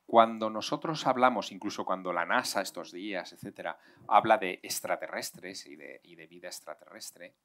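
Deep-voiced man fielding audience questions. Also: moderate pace, few pauses. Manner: animated, hand-gesturing, discursive with asides. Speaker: invited author. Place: outdoor garden stage. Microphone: headset mic.

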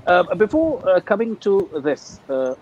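A man whose first language is Urdu, an ESL teacher speaking over a video call in a halting, hesitant way. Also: high-pitched, very animated, a little mellow, lo-fi sound, slight background noise. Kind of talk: panel discussion. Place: teaching abroad.

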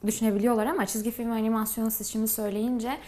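A woman's voice: fairly high pitch, level tone, racing, darkish tone. A woman hosting a broadcast interview in a conversational, deferential and agreeable way. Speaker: programme host, interviewer.

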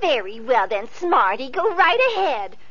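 A woman's voice: high-pitched, sing-song, a little slow, fairly bright tone, slightly rough, full character voice. A woman playing a tired, huffy young sister in a vintage radio play, complaining as she speaks. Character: weary, huffy young sister One Eye. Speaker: radio actress. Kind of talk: 1940s radio acting. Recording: muffled old radio recording.

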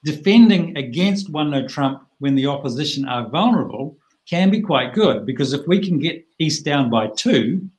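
A middle-aged man teaching a bridge hand over a video call, plain-spoken and chatty with lively asides. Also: fairly high pitch, normal speed, rough recording.

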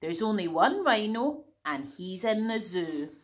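A woman's voice: mid-range voice, big pitch swings, unhurried. A woman voicing an alarmed farm woman who accuses the animals.

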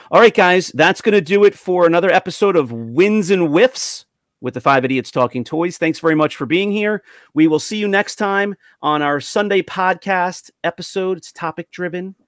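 A man's voice: a little high, dry recording, studio quality. A man hosting a podcast in casual group chat. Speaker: podcast host.

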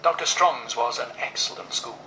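A man's voice: medium pitch, moderate pace, bright, forceful tone. Distant, echoey male audiobook narrator. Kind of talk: professional literary audiobook narration. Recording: distant tablet playback, echoey with hiss.

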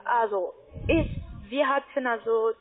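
A non-native woman with a foreign accent, instructing a customer haltingly as a call centre agent. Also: a little high. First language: not German. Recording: tinny narrow-band phone line.

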